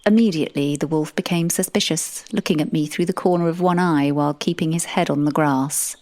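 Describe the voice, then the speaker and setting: Low-voiced, dryly composed actress as woman narrator. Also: lightly acted. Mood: measured, wry. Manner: measured, dry, deadpan. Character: composed, wry female supernatural narrator dealing with monsters. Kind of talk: scripted first-person fantasy narration.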